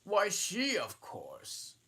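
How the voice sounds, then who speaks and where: A man voicing a solemn, self-important male dodo bird in a storybook reading, speaking gravely and stumbling over his words. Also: high-pitched, wildly swooping pitch, very slow, bright, forceful tone, pitched above the performer's own voice, exaggerated voice.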